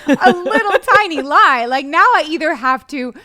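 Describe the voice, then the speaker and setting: A woman's voice: high-pitched, animated, normal speed, bright, forceful tone, no echo, clean studio sound. A woman co-hosting a podcast, talking in a casual, playful way and peppering her speech with "like.". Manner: chatty, playful, hedges with "like". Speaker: podcast co-host. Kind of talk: casual chat.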